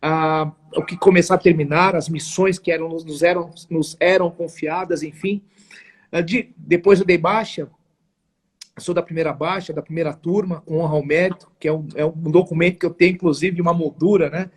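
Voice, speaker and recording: A man in his forties with a São Paulo accent, speaking as a guest on a live interview. His voice sits high for a man and has a husky edge. He tells his story earnestly and emphatically, in formal phrasing with many restarts. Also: fairly even intonation, moderate pace. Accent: São Paulo Portuguese. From São Paulo, Brazil. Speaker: guest, network marketing entrepreneur.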